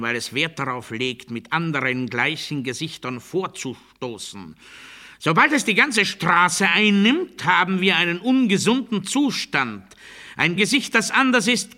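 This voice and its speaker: A sharp, pompous authoritarian orator. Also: male voice, a little high, full character voice. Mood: menacing, self-righteous. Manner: pompous, declamatory, emphatic rhetorical question and answer. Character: menacing, self-righteous male authoritarian orator defending police violence. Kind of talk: satirical radio play monologue, political oratory.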